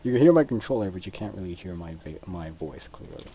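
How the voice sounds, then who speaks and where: A man's voice: sits low, big pitch swings, quick pace, vocal fry, mellow, dull audio, a little hiss or hum. A young man from Mexico chatting casually over his gameplay, rambling and hesitant with many fillers, on a cheap computer mic. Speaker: gaming video creator. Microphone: cheap computer microphone. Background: controller clicks.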